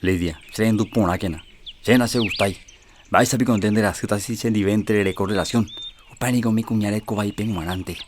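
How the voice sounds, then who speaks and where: A man's voice: lowish voice, a little fast, slight background noise, close to an everyday voice. An actor playing a jealous, controlling young village man in a radio drama, speaking in an insistent, reproachful way.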